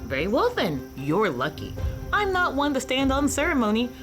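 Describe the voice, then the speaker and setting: A woman playing a brisk, no-nonsense noblewoman in a fantasy radio play, theatrical and dryly comic. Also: sits high, huge pitch swings, over music. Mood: brisk, dismissive. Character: brisk, no-nonsense noblewoman presiding over a sword rite.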